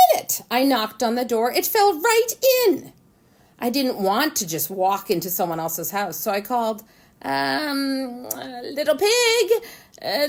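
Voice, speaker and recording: A woman voicing a storybook wolf, male, chatty and falsely innocent, with dramatic stammering and drawn-out words. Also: sits high, full character voice. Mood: mock innocent. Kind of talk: animated children's storybook reading.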